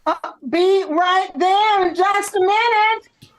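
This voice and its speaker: A woman's voice: extremely high pitch, takes it slowly. A woman playing a homely, hesitant, welcoming country storekeeper in an old-time radio comedy.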